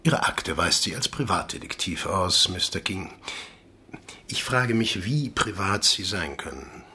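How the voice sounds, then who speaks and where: A man playing the menacing chief of a secretive agency in a radio play, with a husky, breathy voice and a measured, politely condescending manner. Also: low voice, expressive, pushed and bright, heavily acted. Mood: smugly polite.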